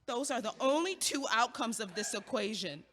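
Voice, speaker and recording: A woman's voice: fairly high pitch, normal speed. A young actress playing a blunt, impatient student who teases and bosses her neighbor around in an audio play. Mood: exasperated. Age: young adult. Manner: teasing, bossy, lively. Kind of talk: stage audio play acting. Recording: long hall reverb.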